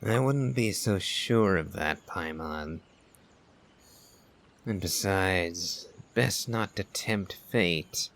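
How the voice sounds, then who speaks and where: A man playing a cautious, thoughtful traveler in a fantasy game, with a deliberate, understated delivery. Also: low-pitched, creaky, a bit bright, a little hiss or hum, heavily acted. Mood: thoughtful, wary. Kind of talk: game character dubbing. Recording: faint music under the voice.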